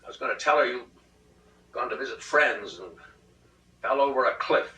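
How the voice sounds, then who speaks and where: A man's voice: medium pitch, lively intonation, moderate pace, pushed and bright, voice pushed up. A man playing a peevish, childishly insistent husband in a comic short-story reading, pleading and exasperated with heavy emphasis. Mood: indignant. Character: peevish, childishly insistent middle-class husband plotting murder. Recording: roomy, distant rehearsal recording.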